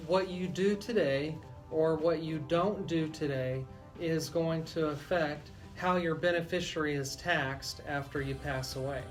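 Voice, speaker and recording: A man's voice: a little high, fairly even intonation, unhurried, few pauses. Guy lawyer on talk radio explaining stuff carefully, music behind him. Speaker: radio show host and lawyer. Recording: music bed under the voice.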